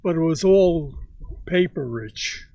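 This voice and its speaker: A man in his nineties recalling his childhood for a family interview, speaking slowly with halting pauses. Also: a little high, animated, warm tone. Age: 90s. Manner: slow, halting recollection with many pauses. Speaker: grandfather telling stories.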